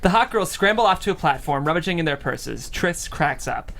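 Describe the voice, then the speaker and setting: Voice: medium pitch, animated, a little fast, vocal fry, fairly bright tone. A host presenting a studio table-read show and reading out script directions. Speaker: host and stage-direction reader. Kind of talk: studio talk show hosting and script narration. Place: studio, several mics around a table.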